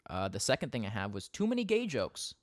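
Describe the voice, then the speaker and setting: A young man co-hosting a podcast, chatting casually in a quick, rambling way and laughing often. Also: mid-range voice, wildly swooping pitch, no echo, clean studio sound. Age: young adult. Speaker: podcast co-host. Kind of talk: casual chat. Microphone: studio podcast mic.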